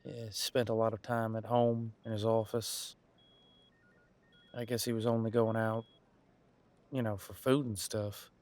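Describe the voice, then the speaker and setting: A man's voice: lowish voice, flat delivery, a little slow, long pauses, a little mellow, dry recording, lightly acted. A man playing a casual, wry police detective in an audio roleplay, musing in a conversational, hesitant way. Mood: explaining, thoughtful.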